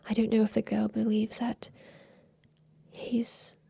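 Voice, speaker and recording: A woman playing an aging, wistful inventor confined in a haunted attic, whispering softly and confidingly in an ASMR roleplay about revenge. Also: a little high, monotone, heavily acted. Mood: resolute, hushed. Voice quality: soft breathy whisper. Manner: slow, wistful, confiding, halting.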